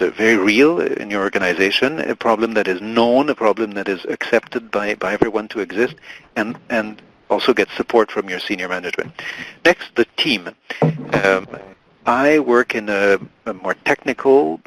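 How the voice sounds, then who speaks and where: A man with a fairly low voice interprets live for a webinar audience. He keeps stalling on fillers and restarting phrases as he catches up with the speaker. The sound is dry and close. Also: a bit bright, no echo, poor audio. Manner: halting, filler-heavy, restarts while interpreting. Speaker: simultaneous interpreter.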